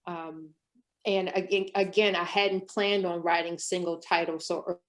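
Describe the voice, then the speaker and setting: A woman's voice: fairly low pitch, steady tone, measured pace. A middle-aged woman romance author answering interview questions in a reflective way, often hedging with "um" and "sort of". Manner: reflective, many ums and sort ofs.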